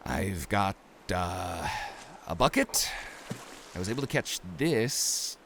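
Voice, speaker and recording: An actor playing a tired, desperate, stubborn castaway in a fantasy audio drama, halting and guarded in his speech. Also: deep voice, lively intonation, creaky, a bit bright, slight background noise, full character voice. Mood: weary, dismissive.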